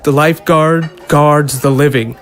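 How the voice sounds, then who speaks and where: A man's voice: fairly high pitch, fairly even intonation, unhurried, soft background music, lightly acted. An actor playing a cocky, proud young male soldier in a conversational audio drama. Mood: matter-of-fact, teasing.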